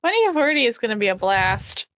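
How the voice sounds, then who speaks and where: A woman in her twenties chatting casually as a podcast co-host, animated and informal in the way she talks. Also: a little high, fairly quick, a little hiss or hum. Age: 20s. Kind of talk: casual chat.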